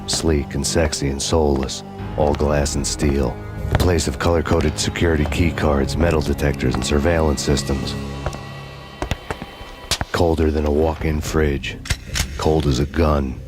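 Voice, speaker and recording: A weary noir detective narrating his own downfall. The voice sits extremely low and barely moves, dry and sardonic in every line, with a steady music score underneath. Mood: grim. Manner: dry, sardonic, measured delivery. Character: bitter, weary male cop on a revenge path. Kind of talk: hard-boiled noir narration.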